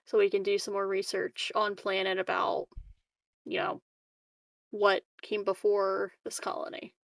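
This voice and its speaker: A woman's voice: mid-range voice, completely flat delivery, a little slow, gravelly, no room sound. A woman chatting casually as a player in a tabletop roleplaying game, partly in character.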